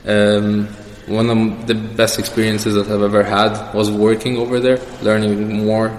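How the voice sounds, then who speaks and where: A young man answering questions as the guest in a casual personal interview. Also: fairly low pitch. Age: young adult. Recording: echoey reverberant room with background noise.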